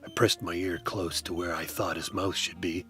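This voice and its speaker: A man narrating in hard-boiled first person as a detective, with a dry, wry, deadpan delivery. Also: deep voice, normal speed, soft background music.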